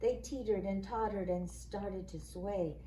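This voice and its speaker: Unhurried woman reading a children's storybook. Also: medium pitch, warm tone. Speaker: school staff reading a picture book aloud. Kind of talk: expressive children's storybook read-aloud. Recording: echoey room, faint background noise.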